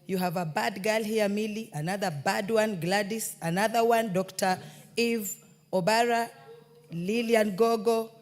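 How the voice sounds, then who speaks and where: Slow, teasing woman in a hall. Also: medium pitch. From Kenya. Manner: playful, teasing, unhurried. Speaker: member of parliament. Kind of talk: parliamentary welcome speech. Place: parliament chamber. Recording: long reverb of a large chamber.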